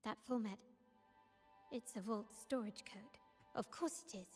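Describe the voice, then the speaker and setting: A woman voicing a poised, commanding young noblewoman in a video game, speaking formally and deliberately. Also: on the high side, in a large hall, natural voice. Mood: composed, analytical. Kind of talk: scripted video game voice acting. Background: game music.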